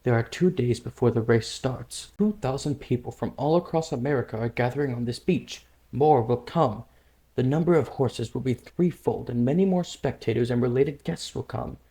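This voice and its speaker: A man playing a formal, serious official in a comic dub, speaking in a measured way. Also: medium pitch, warm tone, close to an everyday voice. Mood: concerned. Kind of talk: comic-book dub voice acting.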